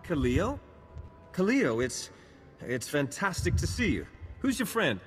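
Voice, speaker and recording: A man's voice: fairly high pitch, big pitch swings, a little slow. A man playing a meek, lovesick jilted gambler in a video game, hesitant, stammering and earnest. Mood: flustered. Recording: long reverb, music behind.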